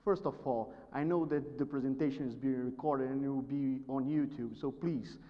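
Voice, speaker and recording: A man's voice: mid-range voice, fairly even intonation, moderate pace. A nervous man from Brazil with a Brazilian Portuguese accent, a native Portuguese speaker, presenting politely at a conference. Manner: polite, apologetic. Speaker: presenter, market analyst. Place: conference stage in a hall. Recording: dull sound, long hall reverb.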